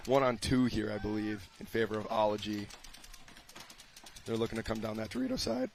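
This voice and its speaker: A man with a raspy voice doing easygoing color commentary on a paintball match. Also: fairly low pitch, lively intonation, brisk, slight background noise. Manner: easygoing, laughs often.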